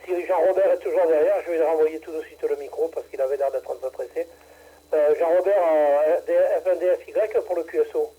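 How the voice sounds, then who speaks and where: Husky man leading a ham radio net. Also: fairly high pitch, a little fast, narrow, tinny audio. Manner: hesitant, many fillers and restarts. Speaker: radio club president running a ham radio net. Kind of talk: amateur radio net, relaxed chat. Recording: radio transmission.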